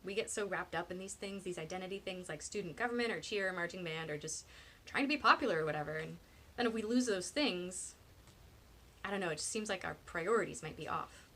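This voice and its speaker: A woman's voice: fairly low pitch, brisk, sharp tone, a little hiss or hum. A woman voicing an eager, earnest teenage girl who rambles enthusiastically in an audiobook.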